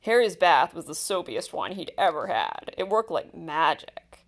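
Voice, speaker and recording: A woman reading a children's picture book aloud, with expressive, deliberate storytelling and stressed key words. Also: on the low side. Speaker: storybook reader.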